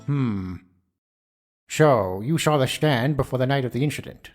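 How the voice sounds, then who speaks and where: A man's voice: mid-range voice, lively intonation, a little slow, soft background music, lightly acted. Solemn old male judge played by an actor. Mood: grave. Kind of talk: dramatised video game voice acting.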